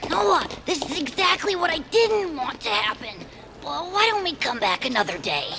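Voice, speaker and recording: A woman voicing a timid, nervous, sweet little creature with a creaky voice and a hesitant, worried manner. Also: high voice, sing-song, normal speed, sharp tone, full character voice. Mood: anxious.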